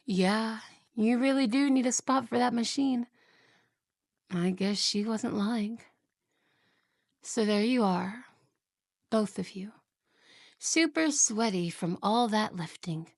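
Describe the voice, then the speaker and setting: A jealous, possessive young wife, played by a woman in an ASMR roleplay, purring her words very slowly with a gravelly, creaky edge. She slips into hushed whispers and sounds sweet, yet quietly threatening, close to a dry, dead microphone. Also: fairly high pitch, dry recording. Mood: quietly menacing. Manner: soft, slow, whispery, with giggles.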